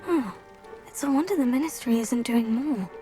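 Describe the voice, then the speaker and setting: High-voiced young schoolgirl witch, acted by a woman. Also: normal speed, close to an everyday voice. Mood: thoughtful. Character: thoughtful, determined teenage schoolgirl witch investigating mysteries. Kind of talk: video game cutscene acting. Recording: game music behind the voice. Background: game music.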